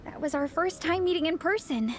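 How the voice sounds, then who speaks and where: A woman voicing a cheerful, excitable young fan-club captain in a video game, bubbly and friendly. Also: very high voice, fairly quick. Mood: sheepish.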